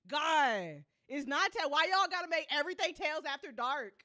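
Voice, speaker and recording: A woman's voice: sits high, lively intonation, normal speed, sharp tone, no echo, clean studio sound. A woman hosting a live listen party in casual livestream chat, informal and teasing, with some swearing.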